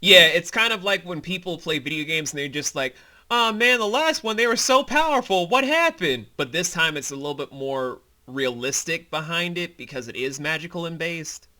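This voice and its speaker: A man with a very bright, forceful, fairly high voice talks through his own fantasy audio series. He is animated and colloquial, explaining things as a director in a casual commentary. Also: normal speed. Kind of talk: casual behind-the-scenes commentary.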